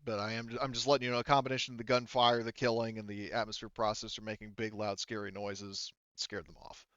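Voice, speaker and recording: A man with a smooth, lowish voice acts as game master, explaining the game in a loose, conversational way peppered with filler phrases. The sound is close and dry, though slightly dull. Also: level tone, fast talker, fairly bright tone, no room sound. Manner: hedging, conversational, heavy use of "you know" and "like". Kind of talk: casual tabletop game narration, explaining.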